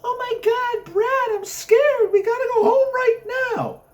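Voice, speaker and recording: Man putting on a shrill, frightened young woman. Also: measured pace, lo-fi sound. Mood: panicked.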